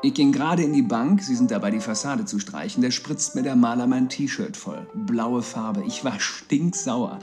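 An actor playing an evasive husband making glib everyday excuses in a radio drama. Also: male voice, medium pitch, moderate pace, hardly any pauses, darkish tone, faint music behind, close to an everyday voice. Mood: casual, evasive.

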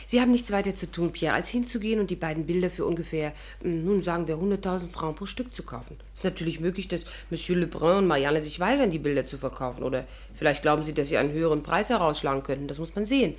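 A woman's voice: low voice, quick pace, few pauses. An actress playing a witty, persuasive worldly woman with a playful, wry manner, in a 1950s radio drama. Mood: coaxing. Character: witty, persuasive, worldly female adventurer telling her story. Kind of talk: 1950s German radio drama acting and narration.

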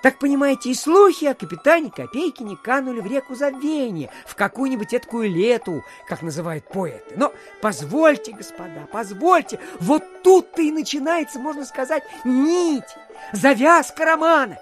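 A man's voice: very high-pitched, very animated, normal speed, soft background music. An actor playing a garrulous, self-important provincial official who rambles through his stories with filler phrases, in an audio drama. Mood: animated storytelling. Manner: digressive, full of filler phrases. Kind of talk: theatrical audiobook radio play.

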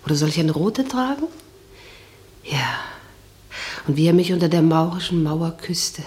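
An actress playing a sensual, dreamy, plain-spoken wife who muses to herself in a German radio drama monologue. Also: female voice, sits low, lively intonation, normal speed, a little mellow. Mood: rapturous. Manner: musing, intimate, talking to herself.

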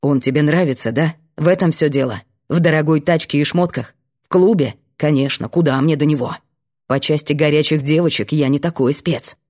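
A woman's voice: low-pitched, brisk, a little mellow. A woman voicing a stubborn, jealous young male student with a pushy, sarcastic manner in an audiobook.

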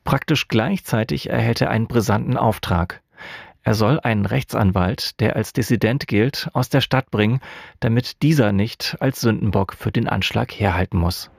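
A man's voice: lowish voice, moderate pace, vocal fry, dry recording, studio quality. A man reading a scripted introduction as the presenter of a radio play. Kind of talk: scripted narration.

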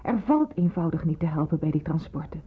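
A muffled, phone-band radio play recording of a stern, caring senior nurse. Her voice is strongly husky and breathy, with an insistent, commanding way of talking. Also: low-pitched, animated, brisk, exaggerated voice. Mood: urgent, pleading. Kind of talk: radio drama acting.

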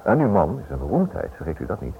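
Prying writer, radio drama, fast, polite, insinuating, persistent, very animated, light background noise. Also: male voice, low-pitched, creaky, exaggerated voice. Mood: insinuating, probing. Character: smooth, prying male writer probing a prisoner's wife. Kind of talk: radio play acting. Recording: noisy, dull old radio drama recording.

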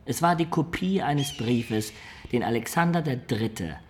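A man's voice: medium pitch, animated, unhurried, a little hiss or hum, natural voice. An actor playing a wily adventurer who confides his past like a storyteller in a radio drama. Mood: bitter.

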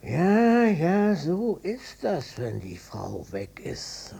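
Radio drama: self-centred old father, mellow creaky voice, resigned, sighing, repetitive grumbler. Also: a little high, huge pitch swings, very slow, exaggerated voice. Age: older. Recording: noisy cassette copy of radio play.

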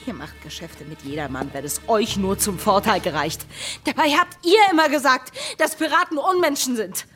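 An actress playing a defiant, headstrong young merchant's daughter, proud and impassioned, in a German radio drama. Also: female voice, fairly high pitch, animated, moderate pace, soft background music, natural voice. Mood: accusing. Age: young adult. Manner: impassioned, swinging from hushed to crying out.

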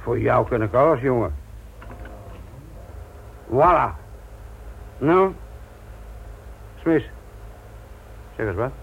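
An actor playing a sardonic, gruff older police instructor in a Dutch radio play, patronising and deliberate in manner. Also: male voice, medium pitch, expressive, heavily acted. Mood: patronising, stern. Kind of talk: Dutch radio drama acting. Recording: thin, narrow-band old radio recording.

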